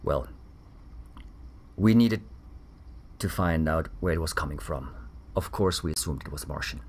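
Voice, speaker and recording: Guy acting as a ex-army doc on mars, deep voice, grave and defensive, radio play interview. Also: unhurried, full character voice. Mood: grave, measured. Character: weary, defensive male German ex-army doctor on Mars. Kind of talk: dramatic interview-style audio drama.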